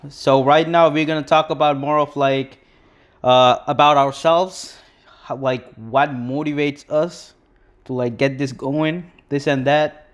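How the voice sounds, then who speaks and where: A man's voice: a little high, steady tone, a little slow. A young man from Sri Lanka co-hosting a live podcast in a casual, rambling way, full of restarts and fillers. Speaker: podcast co-host.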